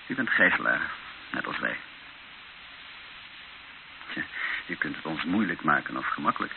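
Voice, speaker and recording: Patient old-radio mission priest, gravelly, really expressive pitch, earnest and measured, noisy recording. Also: male voice, phone-quality sound, full character voice. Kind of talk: radio drama acting.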